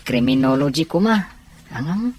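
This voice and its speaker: A woman's voice: a bass voice, animated, moderate pace, darkish tone, slight background noise. A woman with an Ilocano accent playing a friendly college classmate in a radio drama, chatting casually.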